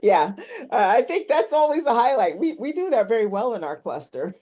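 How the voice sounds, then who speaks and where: A woman's voice: fairly high pitch, very animated, moderate pace, warm tone. A woman interviewing in a conversational, reflective way, explaining her points and often checking in with the listener.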